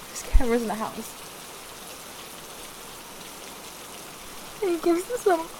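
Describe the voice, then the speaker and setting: Casting: actress as a high-pitched, distraught woman trapped with a controlling partner, pleading and halting, intimate roleplay drama, steady rain noise. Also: lightly acted. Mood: aggrieved. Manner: pleading, halting, repeating herself.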